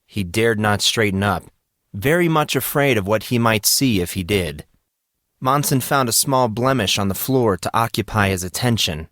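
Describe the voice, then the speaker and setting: A man's voice: on the low side, expressive, normal speed, fairly bright tone, no echo, clean studio sound. A man narrating fiction in a scripted, dramatic audiobook style.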